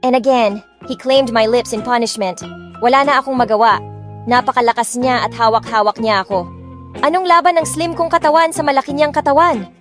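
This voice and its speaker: A woman's voice: a little high, fairly quick. A woman narrating a first-person romance story expressively, mixing in English phrases. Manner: expressive, switching freely between Tagalog and English phrases. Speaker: audiobook narrator. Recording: music playing underneath.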